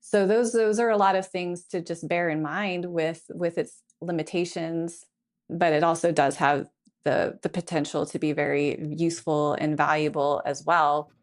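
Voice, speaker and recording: A woman with a somewhat low-pitched voice talks through technology in a relaxed podcast conversation. She is thoughtful and explanatory, laying out her points one after another as a tech consultant on the show. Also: moderate pace.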